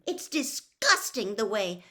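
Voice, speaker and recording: An indignant young girl from a storybook, as a woman reader plays her. Her voice sits high and moves around a lot, heated and insistent as she stands up for others. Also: sing-song, slow, sharp tone, heavily acted. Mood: furious indignation.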